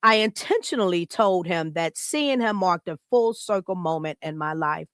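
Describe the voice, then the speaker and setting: A woman's voice: fairly low pitch, animated, moderate pace, no room sound, studio quality. An American woman hosting a podcast, giving a casual tribute that is partly read aloud. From Chicago, United States. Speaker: podcast host.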